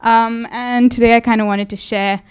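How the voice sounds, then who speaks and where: A woman's voice: fairly high pitch, flat delivery, moderate pace, smooth. A young woman from India giving a casual talk about her photography, hesitant and full of fillers. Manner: hesitant, many fillers, light laughs. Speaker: guest speaker, photographer. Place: event space.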